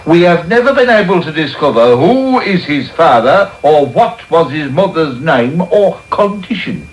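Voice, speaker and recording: A self-important, callous beadle from a period radio play. The voice sits fairly high and swings grandly up and down, declaiming pompously. It comes through a roomy, hissy off-air radio recording. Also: male voice, big pitch swings, measured pace. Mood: pompous, self-satisfied. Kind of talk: period radio drama acting.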